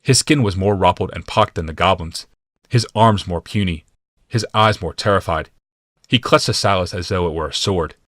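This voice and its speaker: A man reading scripted fantasy narration as an audiobook narrator, in a deliberate, dramatic way. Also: low-pitched, a bit bright, no room sound, clean studio sound.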